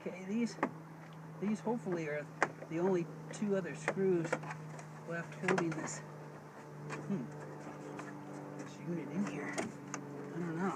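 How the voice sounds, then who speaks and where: Man, middle-aged, fairly high voice, folksy DIY demonstrator, thinking aloud, noisy in-vehicle recording. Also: unhurried, pauses often. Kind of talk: casual hands-on how-to vlog. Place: inside a parked Jeep.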